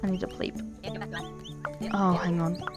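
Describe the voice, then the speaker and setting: A woman's voice: on the low side, flat delivery, a little slow, music in the background. An Australian woman in her thirties, chatting casually and earnestly over her gameplay, often trailing off mid-sentence. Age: 30s. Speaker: gaming channel host.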